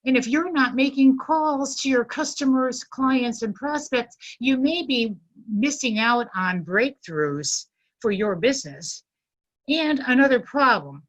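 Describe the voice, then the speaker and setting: A middle-aged woman giving a polite, formal business presentation as a guest speaker in an online meeting, with deliberate emphasis. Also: high voice, animated, rough recording. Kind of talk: prepared business presentation to an online networking group.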